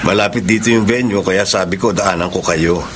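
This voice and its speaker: An actor playing a jovial, helpful older uncle in a Filipino radio drama. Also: male voice, deep voice, fairly quick, a little hiss or hum, close to an everyday voice. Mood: friendly. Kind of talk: Tagalog radio drama acting.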